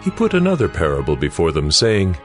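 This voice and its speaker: Very deep male scripture narrator. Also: wildly swooping pitch, a little fast, faint music behind.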